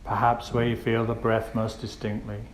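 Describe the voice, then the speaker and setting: A man leads a guided meditation, giving gentle, unhurried instructions with pauses between phrases. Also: on the low side, completely flat delivery. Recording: roomy echo with faint background noise.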